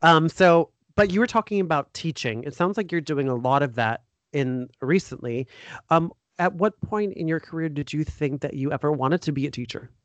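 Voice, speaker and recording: A man's voice: a little high, animated, moderate pace, no room sound. An American man hosting a podcast, interviewing in a casual, informal and enthusiastic conversational way. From United States. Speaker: podcast host.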